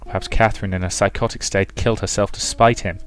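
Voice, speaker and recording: A man's voice: on the low side, fairly even intonation, fast talker, clear, resonant tone. A man reading a visual novel as a dramatic scripted narration, with music underneath. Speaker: let's play narrator.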